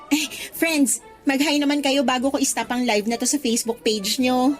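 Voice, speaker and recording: An actress playing a giddy, gushing young best friend in a Tagalog radio drama, chatty and bubbly. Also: female voice, sits high, fairly even intonation, moderate pace, natural voice. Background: faint music.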